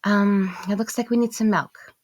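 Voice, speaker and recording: A woman voicing a gentle, affectionate mother in an audiobook, speaking in an encouraging, sing-song parental tone. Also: mid-range voice, steady tone, normal speed, dry recording, pitched above the performer's own voice, lightly acted. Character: gentle, affectionate young mother soothing her small daughter. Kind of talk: audiobook dialogue.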